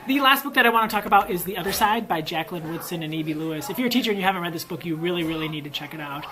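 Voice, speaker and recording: A man's voice: high-pitched, animated, rapid-fire, a crowd in the background. An enthusiastic American man, a teacher casually recommending books in a vlog, emphatic and given to repeating himself for emphasis. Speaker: elementary school teacher and book reviewer. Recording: faint background noise, ambient sound.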